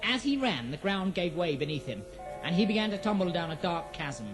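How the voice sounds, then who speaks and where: Male narrator, pretty high voice, dramatic measured fantasy storytelling, bit of music under it. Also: very animated.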